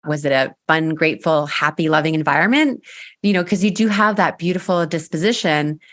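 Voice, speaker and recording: A woman's voice: deep voice, fairly quick. A woman hosting a podcast interview, asking encouraging, attentive questions of her guest. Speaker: podcast host. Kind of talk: interview host.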